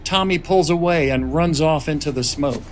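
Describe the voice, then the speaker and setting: A man speaking as a writer-director and host, deliberate and pausing as he narrates or explains. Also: fairly high pitch. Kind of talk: scripted narration and reflective talk.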